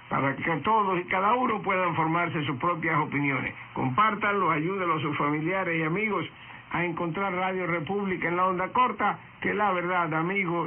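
A man's voice: high voice, moderate pace, a little hiss or hum. A man hosting a radio news program, addressing listeners in a scripted presenter's style. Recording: tinny shortwave radio broadcast with music behind. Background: music.

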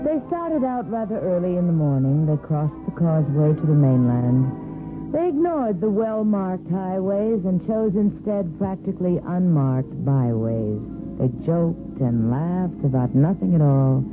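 A man's voice: a little high, very animated, a little slow. A man reading lyrical, dramatic scripted narration for a 1950s radio soap opera. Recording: tinny vintage radio recording. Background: music.

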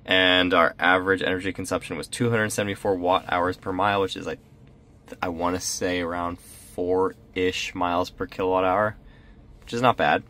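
A man's voice: deep voice, flat delivery, moderate pace, sharp tone. A man casually chatting to viewers in a road trip vlog, upbeat and talkative, speaking from inside his car. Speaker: vlogger. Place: inside a parked or moving car.

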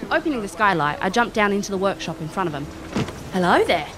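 A woman's voice: mid-range voice, big pitch swings, quick pace, noisy background, lightly acted. A woman playing a cocky, wry private investigator in an audio drama, casual and confident. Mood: cheeky. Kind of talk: audio drama acting and narration.

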